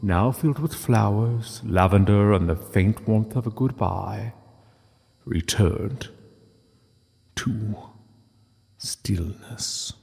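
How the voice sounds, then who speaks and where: A man narrating a scripted literary story in a solemn, measured way with dramatic pauses. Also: on the low side, vocal fry, a little mellow, in a large hall.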